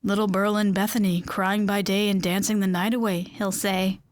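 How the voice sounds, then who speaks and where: A woman's voice: medium pitch, flat delivery, moderate pace. A woman reading first-person story narration, then chatting as the bubbly host of an in-world radio program, with rambling asides. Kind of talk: scripted audio drama narration and monologue.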